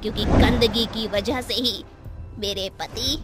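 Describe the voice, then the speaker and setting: A high-pitched, slow-speaking ghost witch played by a woman in a cartoon horror tale. She is aggrieved and vengeful, with dramatic delivery over clear background music. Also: steady tone, natural voice. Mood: bitter, vengeful. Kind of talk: Hindi animated horror story acting.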